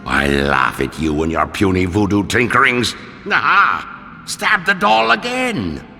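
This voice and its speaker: Gravelly undead pirate villain, theatrical and gloating, slow-rolling delivery, cavernous reverb. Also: male voice, fairly low pitch, sing-song, bright, forceful tone, soft background music, exaggerated voice. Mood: mocking bluster. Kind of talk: video game character acting.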